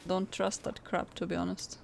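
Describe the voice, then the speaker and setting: A woman's voice: fairly low pitch, completely flat delivery, normal speed, gravelly. Playful woman commentating a game. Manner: playful, exclaiming, chatty. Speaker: gaming commentator. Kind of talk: casual let's-play commentary. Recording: voice over game audio with music.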